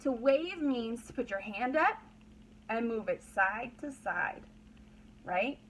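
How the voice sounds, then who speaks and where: A woman's voice: fairly high pitch, lively intonation, slow, a bit bright, a little hiss or hum, rough recording. A woman pre-kindergarten teacher leading a read-aloud lesson for young children, using simple, repeated phrases and prompting questions.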